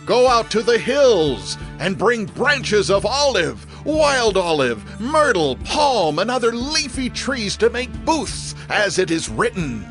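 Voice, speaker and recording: An actor playing a herald proclaiming instructions to the people in a scripture drama, deliberate and declamatory. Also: male voice, high voice, sing-song, music in the background, heavily acted.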